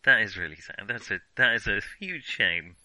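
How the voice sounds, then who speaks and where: A man's voice: on the low side, sing-song, moderate pace, bright, forceful tone, no echo. A middle-aged man in casual discussion as a film podcast co-host, reflective and given to hedging his remarks.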